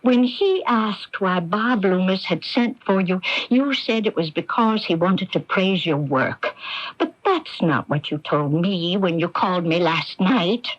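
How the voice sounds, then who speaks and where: A timid but upright middle-aged homebody in a radio mystery. She talks slowly and hesitantly, with earnest, lilting intonation, on a dull lo-fi broadcast recording. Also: on the low side, animated. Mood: earnest, reproachful. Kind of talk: 1980s radio mystery acting.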